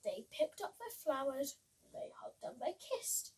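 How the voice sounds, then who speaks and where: A female reader giving an animated, slightly halting read-aloud of a children's story. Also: high-pitched, a little hiss or hum. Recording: voice far from the mic.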